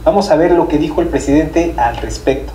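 A man's voice: fairly high pitch, brisk, dark tone, echoey room, slight background noise, poor audio. A man co-hosting a travel channel, giving a friendly, conversational explanation to viewers. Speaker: travel YouTuber co-host. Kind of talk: casual explainer.

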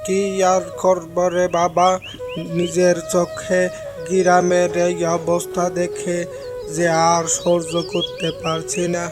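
Actor as old village elder, cartoon folk tale, slow, flat-toned, mournful, stubborn, music underneath. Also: mid-range voice, lightly acted. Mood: sorrowful. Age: older. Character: oldest man of the village, sorrowful, stubbornly attached to home. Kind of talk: animated folk tale dialogue. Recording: music behind the voice.

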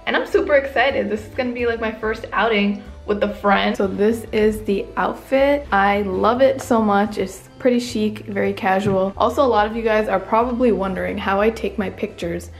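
A woman's voice: medium pitch, normal speed, few pauses, faint music behind. A woman, chatting informally to camera as a vlogger. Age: 20s. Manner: chatty, informal, youthful phrasing. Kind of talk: casual vlog chat to camera.

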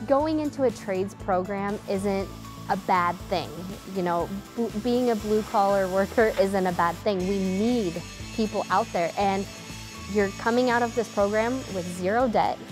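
A woman's voice: mid-range voice, a little slow, over music. A woman in her thirties, a welding instructor, speaking earnestly and with enthusiasm in a documentary interview. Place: indoor welding classroom.

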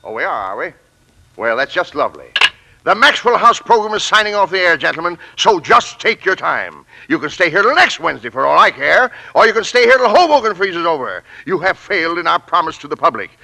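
A man's voice: fairly high pitch, big pitch swings, a little fast, creaky, bright, forceful tone, exaggerated voice, low-quality recording. An American man playing a pompous, exasperated coffee company boss in a 1940s radio comedy, sputtering and bellowing. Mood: sarcastic, indignant.

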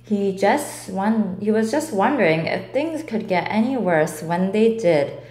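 Slow, animated woman reading a storybook. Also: mid-range voice. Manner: deliberate, animated. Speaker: storybook reader. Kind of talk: expressive children's read-aloud. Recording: roomy indoor echo.

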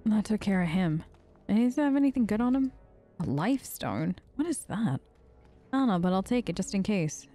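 A woman's voice: mid-range voice, big pitch swings, normal speed, warm tone, faint music behind. A woman doing casual, improvised role-play commentary on a game, flippant and chatty, joking in character. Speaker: let's play host.

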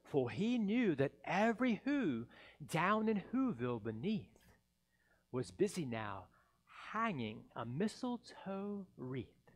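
A man in his forties reading a children's story theatrically, with dramatic pauses and expressive emphasis. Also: on the high side. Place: church sanctuary, seated in an armchair. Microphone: headset.

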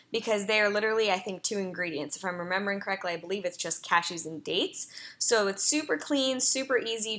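A woman's voice: mid-range voice, sharp tone. A young woman, a personal trainer, chatting casually in a voice-over as she walks through her online grocery order, with an upbeat manner and frequent ums and uhs.

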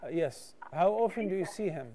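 A man in his thirties, a studio TV scholar, answering callers' questions with calm, measured explanations into a lapel mic. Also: sits high. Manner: calm, measured, explains step by step with hand gestures. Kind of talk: studio call-in Q&A advice.

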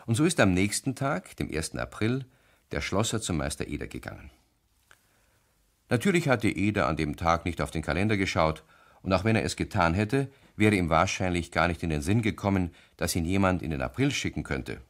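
A man's voice: sits low, lively intonation, fairly quick. A man narrating a scripted radio play as a storyteller.

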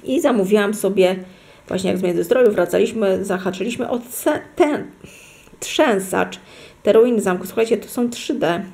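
A woman's voice: medium pitch, moderate pace, gravelly, a little mellow. A middle-aged woman talking casually to her viewers at home, rambling and correcting herself as she shows souvenirs. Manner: rambling, self-correcting, addresses viewers directly. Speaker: vlogger showing her fridge magnets. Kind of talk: casual chatty unboxing vlog. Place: at home, indoors at a table.

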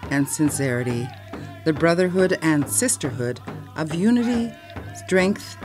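A woman with a low, grounded voice reads a formal statement in a measured, dignified way. Her phrasing is slow and deliberate. Soft instrumental music plays beneath her. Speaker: voice actor reading a historic acknowledgement. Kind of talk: solemn read statement.

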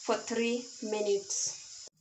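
A woman's voice: mid-range voice, flat delivery, very slow, heavy background noise. A Kenyan woman giving step-by-step cooking instructions in an explanatory tutorial style. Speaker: home cook, video host.

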